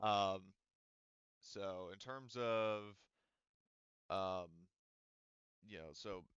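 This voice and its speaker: Man, game master, low pitch, dry close mic, dull voice-chat audio. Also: drawn-out words, fairly bright tone, clean tone, dry recording. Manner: rambling, lots of ums and likes. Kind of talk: casual tabletop game narration. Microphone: headset or desk mic on voice chat.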